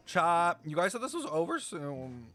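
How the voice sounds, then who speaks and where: A man's voice: fairly high pitch, sing-song, unhurried, sharp tone. A young man livestreaming, chatting casually and expressively with his viewers as he reacts to a game, in slangy speech. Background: game music.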